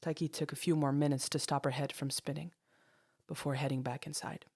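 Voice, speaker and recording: A woman's voice: low-pitched, little pitch movement, fairly quick, darkish tone, slightly rough, no room sound, studio quality. A woman reading scripted dramatic fiction as a storyteller. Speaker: storyteller, narrator.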